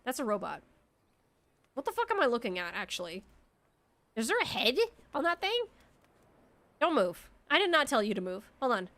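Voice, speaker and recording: A woman's voice: a little high, sing-song, normal speed, long pauses, fairly bright tone. A congested young woman streaming a game, chatting casually and rambling, swearing now and then. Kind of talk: casual game livestream chat. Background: faint background music.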